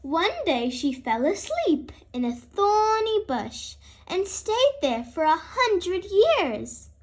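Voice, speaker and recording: Woman as an excitable high-pitched little girl piglet. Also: slow pace, full character voice. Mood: storytelling.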